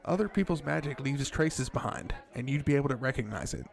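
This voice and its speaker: A man's voice: mid-range voice, lively intonation, a little fast, vocal fry. A man playing a worried, cautious cafe co-worker in an audio drama, speaking in an earnest, pressing way. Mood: worried, insistent.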